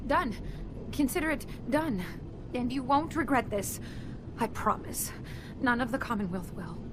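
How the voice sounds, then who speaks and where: A woman acting an earnest, socially awkward robot inventor in a video game, halting and defensive. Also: fairly high pitch, expressive. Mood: remorseful, pleading. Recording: clear background noise and ambience.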